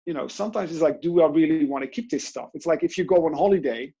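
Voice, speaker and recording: Dutch-accented man, husky voice, animated coach on a video call, dull lo-fi sound. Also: on the high side, quick pace. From Netherlands. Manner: animated, storytelling with quoted inner voices. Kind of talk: online interview.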